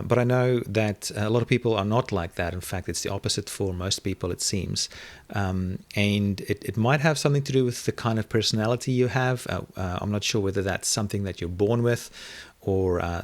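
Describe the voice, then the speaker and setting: A man's voice: on the low side, a little fast, hardly any pauses. A man whose first language is Afrikaans, musing in an interview with frequent fillers and restarts. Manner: thoughtful, many fillers and restarts. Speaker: interview guest. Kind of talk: reflective conversational interview.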